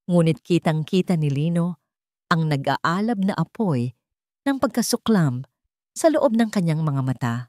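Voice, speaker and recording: Low-pitched woman narrating a dramatic story. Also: darkish tone, no room sound, clean studio sound.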